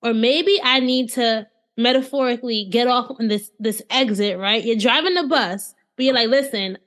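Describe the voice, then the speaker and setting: A woman hosting an interview, asking warm, affirming questions in a conversational way. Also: a little high, moderate pace. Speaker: interview host.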